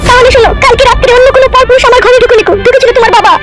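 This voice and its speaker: A man's voice: very high voice, steady tone, racing, fairly bright tone. A man voicing a scheming young wife in a comedy cartoon. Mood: defiant. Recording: music under the voice.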